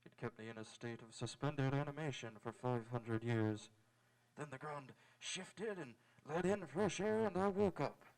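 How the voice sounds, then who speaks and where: A man acting as a young space adventurer from a vintage radio serial. His voice has a middling pitch. He speaks politely and sincerely, in measured phrases, like an earnest hero. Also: gravelly, darkish tone, close to an everyday voice, rough recording. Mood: dazed, recounting. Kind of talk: old-time radio drama acting. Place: live on an auditorium stage.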